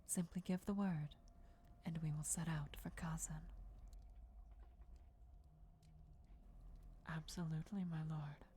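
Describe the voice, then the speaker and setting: A woman's voice: sits low, steady tone, mellow, slight background noise, lightly acted. Whispering ancient vampire countess, acted by a woman. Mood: resolute, loyal. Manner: formal, deferential, measured whispering. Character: ancient female vampire countess, devoted herald's speaker, formal and reverent. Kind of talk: whispered fantasy ASMR roleplay.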